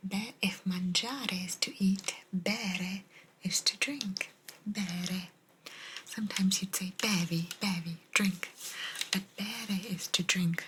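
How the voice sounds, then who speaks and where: Woman, breathy whisper, very slow gentle pace, ASMR teaching, repeated words, clear background noise. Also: mid-range voice, poor audio. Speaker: host teaching Italian vocabulary. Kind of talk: whispered ASMR language lesson.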